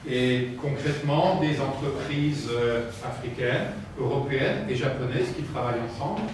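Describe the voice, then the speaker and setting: Middle-aged man from France, measured hesitant speaker; distant mic, very roomy, noisy. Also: medium pitch. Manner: slow, measured, hesitant with "euh" fillers. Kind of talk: formal talk with pauses for interpreting. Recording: echoey room from far off with noise.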